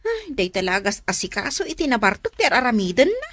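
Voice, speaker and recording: A woman's voice: a little high, sing-song, fairly quick, a bit bright. A woman playing a young, concerned and outspoken younger sister in a radio drama. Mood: exasperated.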